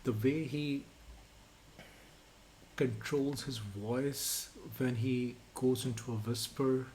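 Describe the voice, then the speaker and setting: A native Urdu-speaking man co-hosting a song reaction, talking in a reflective, halting way and often repeating words. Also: medium pitch, steady tone, a little mellow, slight background noise, low-quality recording. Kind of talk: casual reaction chat.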